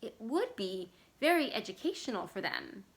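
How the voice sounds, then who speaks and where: A woman's voice: on the high side, very animated, normal speed. A woman voicing a crafty, persuasive old grandmother in a story reading, coaxing and insistent.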